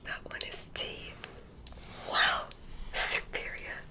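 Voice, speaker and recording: A woman whispering as a curious alien doctor who examines a human, softly gushing with praise in a slow, soothing ASMR roleplay. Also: close to an everyday voice. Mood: curious, delighted. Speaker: ASMR creator.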